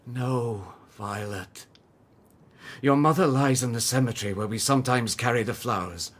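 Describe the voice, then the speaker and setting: A man's voice: medium pitch, normal speed, close to an everyday voice. An actor playing a stern, unforgiving father in a radio drama, speaking with solemn command. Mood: grave, stern. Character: stern, unforgiving father forbidding his daughter's name.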